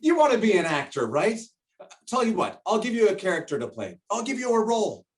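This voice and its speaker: A man's voice: sits high, big pitch swings, distant mic. A man playing a bossy, greedy middle-aged shopkeeper father in a stage play, blustering and drawing out his words. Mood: coaxing. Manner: blustering, emphatic, drawn-out words. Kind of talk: stage play acting over video call.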